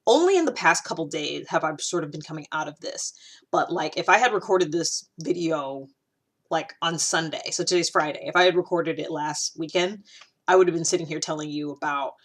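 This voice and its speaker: A woman's voice: fairly low pitch, a bit bright. A woman hosting her own video, talking casually to the camera in a rambling way, full of fillers and restarts. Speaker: video host.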